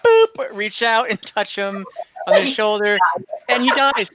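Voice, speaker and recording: A man's voice: high voice, unhurried, a bit bright, dry recording, lo-fi sound. A man acting as game master in a casual online tabletop game, narrating and refereeing in an easygoing, accommodating way.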